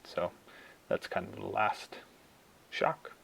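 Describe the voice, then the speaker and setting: A young man with a Canadian accent giving an informal class presentation, speaking in halting, thoughtful phrases. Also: on the low side, flat delivery, gravelly, a bit bright, slight background noise. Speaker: university student.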